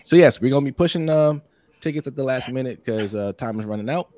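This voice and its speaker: A hoarse man hosting a casual live-streamed sports podcast, upbeat and repetitive, reading out viewer comments and giving shout-outs. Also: mid-range voice, fast. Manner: upbeat, repeats phrases, many shout-outs. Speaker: podcast host.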